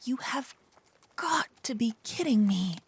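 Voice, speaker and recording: A woman playing an earnest, chatty young paladin girl in an ASMR fantasy roleplay, with soft, expressive, dramatic delivery. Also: a little high, measured pace, husky, full character voice. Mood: alarmed, flustered.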